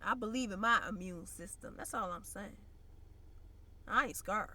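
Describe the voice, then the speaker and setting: A woman's voice: medium pitch, moderate pace, bright, forceful tone. A young woman vlogging in a blunt, slangy, conversational way, sounding congested and nasal. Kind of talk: casual direct-to-camera chat.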